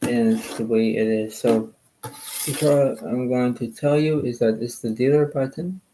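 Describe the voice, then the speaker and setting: A soft-spoken man, a little hoarse, hosting a card game and rambling in repeated filler phrases. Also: medium pitch, steady tone, moderate pace, noisy background. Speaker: channel host playing cards. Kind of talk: casual rambling talk to camera.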